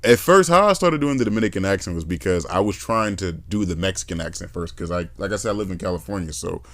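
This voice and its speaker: A man with a fairly low voice hosts a casual podcast, talking in a rambling, lively way and slipping into playful accent imitations. His pitch swings widely as he gets excited. The sound is dry and close, with no room echo. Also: fast. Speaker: podcast host. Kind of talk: casual chat.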